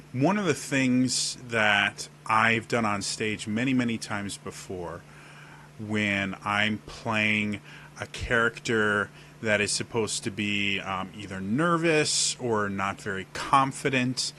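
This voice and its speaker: Man, deep voice, casual tutorial to camera, forceful bright tone, demonstrates as he talks. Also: a little hiss or hum. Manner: explains while demonstrating gestures on camera. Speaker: role-playing tips video host. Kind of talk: casual instructional talk to camera. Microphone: webcam setup at desk.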